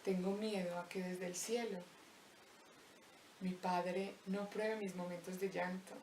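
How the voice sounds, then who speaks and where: A young woman from Colombia with a Paisa accent, slowly reciting a reflective spoken-word poem with deliberate pauses. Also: on the low side, little pitch movement, darkish tone, slight background noise. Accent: Paisa Colombian Spanish. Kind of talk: spoken-word poetry monologue. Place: empty abandoned house. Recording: roomy echo, voice distant from mic.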